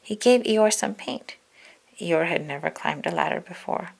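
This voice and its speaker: Creaky-voiced woman reading a children's story. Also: fairly low pitch, normal speed. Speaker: storybook reader. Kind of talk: children's read-aloud narration.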